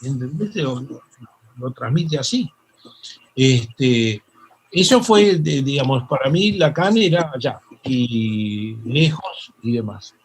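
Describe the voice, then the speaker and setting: An older Argentine man with a Rioplatense accent, a psychoanalyst telling stories in an interview, halting with many fillers and restarts. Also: mid-range voice, husky, rough recording. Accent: Rioplatense Spanish. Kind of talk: reflective interview storytelling.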